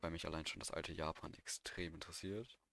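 A man's voice: deep voice, steady tone, a little fast, creaky, bright, forceful tone, dry recording. A young man talking informally and with slang as co-host of a casual podcast. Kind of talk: casual chat.

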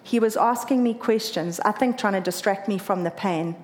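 A woman's voice: medium pitch, normal speed, slight background noise. A woman from South Africa with a South African accent, earnestly telling a personal story about running a marathon. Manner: earnest, emotional, lively when quoting others.

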